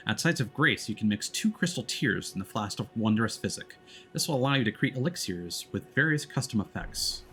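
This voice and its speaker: Male game streamer, lower-pitched voice, casual and halting as he explains gameplay, music clearly behind him. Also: animated, pushed and bright. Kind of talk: casual let's-play stream commentary. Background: background music.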